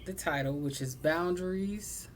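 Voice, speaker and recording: A young adult woman hosting a casual sibling podcast, blunt and animated, cussing freely and laughing often. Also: fairly low pitch, a little slow. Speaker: podcast host.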